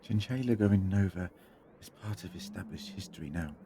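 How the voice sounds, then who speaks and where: Guy acting a weary time traveller hero, deep n grave, audio drama, noisy ambience behind. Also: normal speed, dark tone. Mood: sombre, reflective. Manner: grave, reflective. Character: weary, sombre time-travelling male hero, burdened by guilt.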